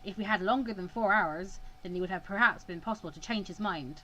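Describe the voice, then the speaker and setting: A woman reads the part of a senior male mediator in a visual novel, cold and sure of himself. The delivery is measured and formal, like a lecture, at a middling pitch with faint noise behind it. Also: a bit bright, highs cut off, close to an everyday voice. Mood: stern, resolute. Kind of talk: reading visual novel dialogue aloud.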